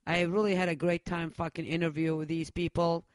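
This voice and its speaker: A man hosting a metal radio show, chatting casually, cursing freely and pausing on many uhs. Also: fairly high pitch, flat delivery, dry recording. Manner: profane, loose, halting with many uhs. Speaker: radio host.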